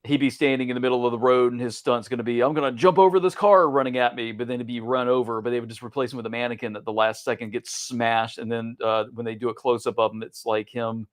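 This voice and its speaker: A man's voice: mid-range voice, steady tone, fast talker, hardly any pauses. A middle-aged man talking casually as a podcast co-host, recounting things he watched. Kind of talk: casual chat, recounting TV memories.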